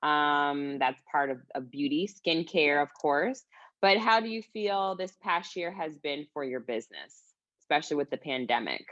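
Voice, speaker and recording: A woman's voice: deep voice, lively intonation, normal speed, fairly bright tone, no echo, lo-fi sound. Chatty woman interviewer, dry sound. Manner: chatty, lots of fillers. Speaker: interviewer host. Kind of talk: casual interview.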